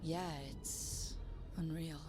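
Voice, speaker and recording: A woman's voice: low-pitched, flat delivery, very slow, over music. A woman playing a dry-witted, determined heroine in a fantasy video game. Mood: earnest. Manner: sarcastic, earnest.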